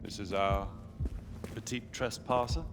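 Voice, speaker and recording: An actor playing an ambitious, calculating military commander in a video game, imperious and measured. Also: male voice, fairly low pitch, fairly even intonation, noisy background, close to an everyday voice. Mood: commanding. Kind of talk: video game cutscene acting. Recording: loud music under dialogue.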